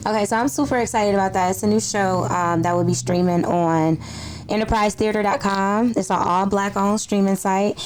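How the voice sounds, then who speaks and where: A woman from Detroit with a slightly raspy voice, chatting informally and in slang as a podcast guest. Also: medium pitch, steady tone, moderate pace, darkish tone, a little hiss or hum, rough recording. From Detroit, United States. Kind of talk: casual interview chat.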